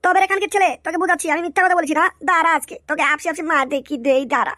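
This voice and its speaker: A performer voicing an aggressive young village lad, blunt and forceful, in an exaggerated comic Bengali drama style. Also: very high-pitched, rapid-fire, dry recording, clean studio sound. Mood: furious.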